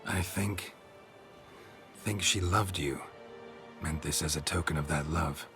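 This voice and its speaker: An actor playing a stoic, gruff monster-hunting mercenary in a video game, terse and deadpan, with music behind. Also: male voice, rumbling low, slow pace, heavily acted. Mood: subdued. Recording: game audio under clear music.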